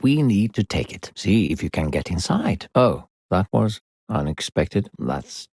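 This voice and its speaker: A man playing a sinister, coaxing disembodied narrator who guides a child in a video game, gravelly, slow, theatrical and condescending. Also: big pitch swings, darkish tone, no room sound, clean studio sound, exaggerated voice. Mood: smooth, approving. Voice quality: deep, gravelly. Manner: smooth-talking, condescending, theatrical, slow and deliberate.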